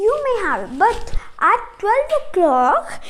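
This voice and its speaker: A kindly fairy godmother out of a storybook, put on by a woman telling the tale. Her voice is very high and lively in pitch. She is gentle and a little fussy, as if instructing someone in her care. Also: unhurried, full character voice.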